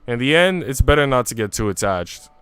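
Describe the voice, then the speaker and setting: A man's voice: mid-range voice, expressive, brisk, a bit bright, smooth, no room sound, natural voice. A man voicing a haughty, guarded young aristocratic girl in a visual novel, snappish and dismissive. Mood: guarded, wistful.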